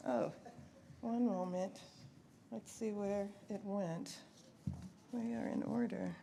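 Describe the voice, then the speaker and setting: A woman presents at a live awards ceremony at a slow, unhurried pace. Her voice has some creak in it, and she breaks off into chatty asides. There is faint noise behind her. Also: medium pitch, dark tone. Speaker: poet. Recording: faint background noise, live event microphone.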